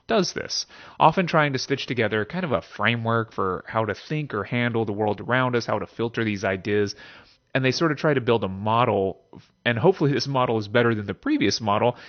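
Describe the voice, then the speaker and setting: A man speaks as a podcast host, his pitch swinging in an animated way. He mixes prepared explanation with casual, wry asides and rhetorical tags. The sound is slightly dull, with faint music underneath. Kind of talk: scripted philosophy podcast talk with casual asides.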